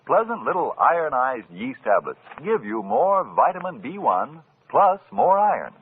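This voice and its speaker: A man's voice: mid-range voice, sing-song, normal speed, breathy edge. A man announcing and selling in a polished, emphatic old-time radio style. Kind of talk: 1940s radio announcing and advertising. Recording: muffled, hissy old radio broadcast.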